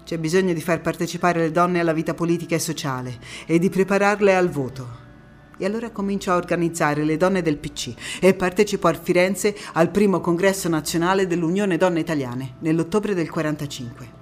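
A woman's voice: fairly low pitch, darkish tone, natural voice. An actress as a resolute woman activist, music behind. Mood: indignant, determined. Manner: emphatic, rhetorical, with dramatic pauses and repetitions. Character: passionate, resolute woman activist recounting postwar struggle for women's vote. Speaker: stage actress in a monologue. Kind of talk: theatrical narrative monologue.

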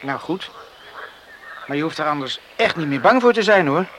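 An actor playing an eager, jealous, lovestruck country lad in a Dutch radio drama, pleading and insistent in a boyish way. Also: male voice, a little high, expressive, normal speed, noisy background, lightly acted.

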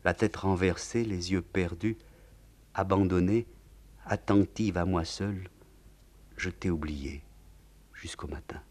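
A man voicing a tormented young woman who speaks in hushed, anguished tones. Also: sits low, slow pace, pauses often.

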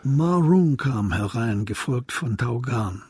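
Mid-pitched male radio drama narrator. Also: expressive, takes it slowly, a little mellow, breathy edge, no echo, studio quality. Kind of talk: scripted audio drama narration.

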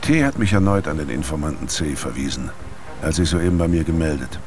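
An actor playing a terse investigator who dictates a secret report in a clipped, factual radio drama style. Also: male voice, rumbling low, lively intonation, fast, music in the background. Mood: grave, secretive. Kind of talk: radio drama monologue, report read aloud.

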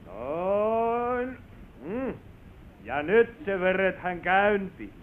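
High-voiced folksy clock merchant. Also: male voice, sing-song, slight background noise. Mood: proud, coaxing. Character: folksy male clock merchant, proud and persuasive salesman. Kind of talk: vintage Finnish radio play acting. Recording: thin, phone-like old radio recording.

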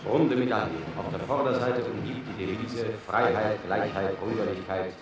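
A man's voice: lowish voice, steady tone, moderate pace, natural voice. Guy doing a boastful ceremonious company man, radio drama, big echo, far off, music blaring behind. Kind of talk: German radio play acting. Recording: echoing, distant, loud music behind.

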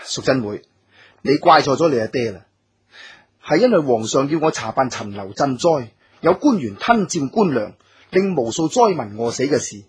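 Scholar-official husband: very husky, lively intonation, Cantonese, earnest and filial, radio drama over soft music. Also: male voice, mid-range voice, moderate pace. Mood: calm, explaining. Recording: music under the voice.

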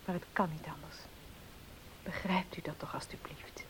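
A woman's voice: fairly low pitch, steady tone, normal speed, in a whisper, close to an everyday voice. An actress playing a polite, determined young woman in a Dutch radio play, speaking earnestly. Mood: pained but firm. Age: young adult. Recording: hissy old radio play recording.

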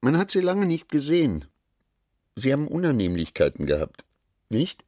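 A man's voice: mid-range voice, huge pitch swings, moderate pace, darkish tone, no room sound, clean studio sound. An actor playing a garrulous, self-satisfied middle-aged businessman in a radio drama, chatty and given to philosophizing. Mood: probing, complaining.

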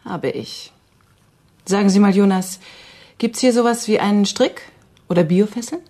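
An actress playing a sober, resolute clinic assistant in a radio drama, speaking in a firm, matter-of-fact way. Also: female voice, medium pitch, normal speed, dark tone, lightly acted. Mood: firm, controlled.

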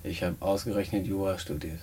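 An actor playing an earnest, hard-up young student in prison in a radio drama, hesitant, polite and naive. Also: male voice, sits low, fairly even intonation, brisk, mellow, slight background noise, lightly acted. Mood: sheepish. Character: earnest, hard-up nineteen-year-old male student in prison. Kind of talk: post-war German radio play dialogue.

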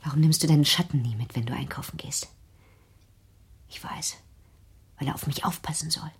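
A reclusive grown daughter in a radio drama, haunted by her own home. Her voice is low and very breathy, close to whispering, with an intense, brooding, fearful edge that turns to accusation. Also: moderate pace, full character voice. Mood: accusing. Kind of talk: radio play acting.

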